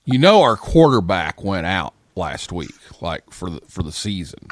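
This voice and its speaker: A man's voice: low-pitched, big pitch swings, moderate pace, no echo. An American man casually hosting a live talk show.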